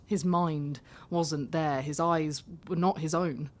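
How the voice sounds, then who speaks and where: An actress playing a candid, vulnerable young woman taxi passenger in an audio drama, confiding in a halting, self-deprecating way. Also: low-pitched, fairly even intonation, a little mellow. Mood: pained, intense.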